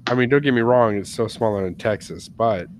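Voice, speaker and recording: A man's voice: mid-range voice, fairly quick, slight background noise. An American man co-hosting a casual podcast chat, telling stories with lots of laughs. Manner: chatty storyteller, laughs often. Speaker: podcast co-host.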